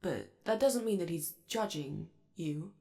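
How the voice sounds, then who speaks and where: Woman voicing a gentle awkward robot alien, slow halting delivery, creaky lowish voice, nervous and reassuring, ASMR roleplay. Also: animated. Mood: shy, rambling. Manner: hesitant, rambling, fidgety, reassuring. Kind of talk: ASMR comfort roleplay, conversational.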